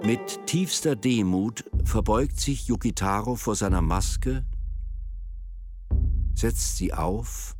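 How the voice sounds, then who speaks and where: A man tells a tale for a radio drama in a low voice. His delivery is scripted, solemn and measured, like a literary storyteller at work. Music plays faintly underneath. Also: expressive, a little mellow.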